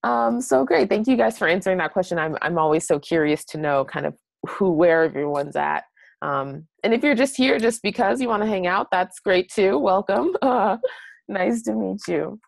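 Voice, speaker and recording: A woman's voice: medium pitch, expressive, normal speed, creaky, dry recording. An American woman in her late twenties giving a casual webinar presentation in a chatty, encouraging way. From New Hampshire, United States. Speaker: guest presenter, blog strategist.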